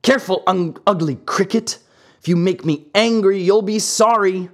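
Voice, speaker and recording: A woman voicing an impudent, hot-tempered wooden puppet boy with a breathy voice. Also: medium pitch, normal speed, pitched above the performer's own voice, close to an everyday voice. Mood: defiant. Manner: impudent, rude.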